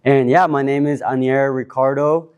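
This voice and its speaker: A man in his twenties from Cuba, introducing himself on a panel in a relaxed, rambling way with many fillers and restarts. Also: medium pitch, moderate pace, smooth, lo-fi sound. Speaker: panel speaker. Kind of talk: casual self-introduction at a panel.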